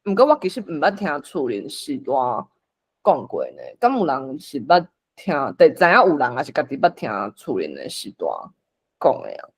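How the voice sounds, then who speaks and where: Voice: medium pitch, very animated, normal speed, husky. A host with a Taiwanese accent, moderating a casual discussion and inviting others to share.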